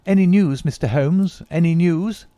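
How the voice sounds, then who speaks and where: Overwrought young secretary, pitched up and loud, played by a male reader, dry close take. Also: sing-song, normal speed, dry recording. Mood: excited. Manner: agitated, exclamatory.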